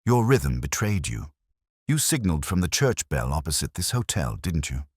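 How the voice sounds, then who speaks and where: A man's voice: a bass voice, big pitch swings, normal speed, breathy edge, no room sound, studio quality, heavily acted. A man voicing a keen, commanding detective with precise, theatrical, coolly triumphant delivery in an audio story.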